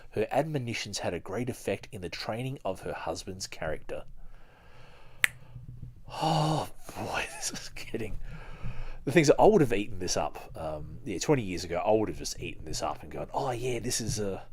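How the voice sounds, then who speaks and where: Low-voiced man hosting a podcast. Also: big pitch swings, normal speed, darkish tone. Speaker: podcast host. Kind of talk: reading a book aloud with casual commentary.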